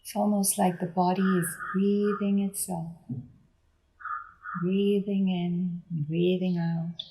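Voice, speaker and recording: A woman guides a meditation very slowly and gently. Her voice sits a little low, with a soft, mellow tone. She leaves deliberate pauses between short, unhurried phrases. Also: level tone, rough recording. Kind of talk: guided body scan meditation.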